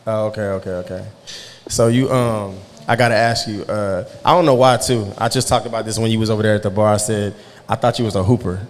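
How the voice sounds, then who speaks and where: A man's voice: on the low side, steady tone, a little fast, big-room sound. Laid-back slangy male podcast host. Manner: laid-back, slangy, keeps asking "you know what I'm saying". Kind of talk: casual interview chat.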